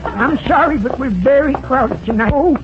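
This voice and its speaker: A man's voice: very high voice, lively intonation, moderate pace. An actor playing an apologetic, weary older male waiter, deferential and hesitant, in old radio comedy style. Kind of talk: 1940s radio comedy acting. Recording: muffled, very noisy old radio recording.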